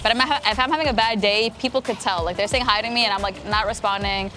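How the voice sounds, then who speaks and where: A woman's voice: mid-range voice, fast, bright, forceful tone, soft background music. A woman talking in an upbeat, encouraging way in a casual group chat, saying "like" often. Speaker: panelist.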